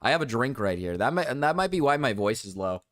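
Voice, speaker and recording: A young man streaming and ranting to his chat, rambling with restarts and fillers, his voice going low and cracking. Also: very animated, fast talker, fairly bright tone. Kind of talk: casual livestream rant to chat.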